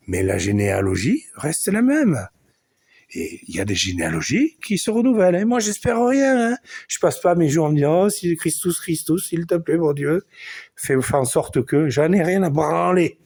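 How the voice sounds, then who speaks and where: A man's voice: on the high side, a little mellow, husky. A man in his forties with a Southern French accent, delivering a crude, boastful podcast monologue full of swearing. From southern France. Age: 40s. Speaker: podcast host.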